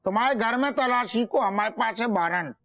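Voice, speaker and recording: An actor with a Bundelkhandi accent playing a sly, pompous small-town police inspector who rambles in rustic sayings. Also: male voice, sits high, normal speed, vocal fry. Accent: Bundelkhandi Hindi.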